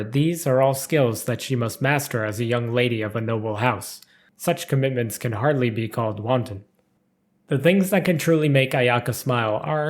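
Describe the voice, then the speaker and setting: A man reading a scripted story aloud as narrator, with formal, careful delivery. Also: mid-range voice.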